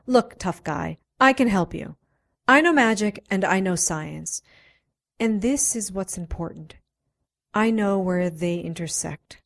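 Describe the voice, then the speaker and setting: A woman's voice: mid-range voice, a little slow, vocal fry. A woman playing a self-assured, teasing doctor of magic and science in a radio drama, flirtatious and persuasive. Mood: coaxing.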